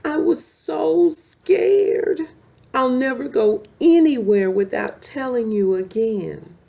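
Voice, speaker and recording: Woman voicing a high, emphatic girl lion cub. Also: takes it slowly, vocal fry, dark tone. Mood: shaken, sorry. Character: young female lion cub, small-voiced and sincere.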